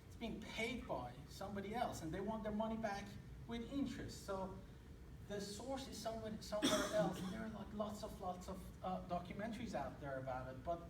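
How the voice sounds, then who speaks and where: A man's voice: high voice, lively intonation, fairly quick. A man in his thirties from Iran with an Iranian accent, giving a casual, hesitant talk to an audience, full of fillers and restarts. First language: Persian. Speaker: presenter. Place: hall with audience. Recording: echoey room, distant mic, noisy.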